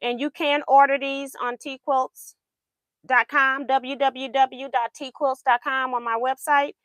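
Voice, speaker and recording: Brief: high-pitched woman, chatty livestream host, slightly strained voice, dry close sound. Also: little pitch movement, measured pace, a bit bright, dry recording. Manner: chatty, laughs often, reads viewer comments aloud. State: voice going in and out. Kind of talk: casual livestream chat while sewing.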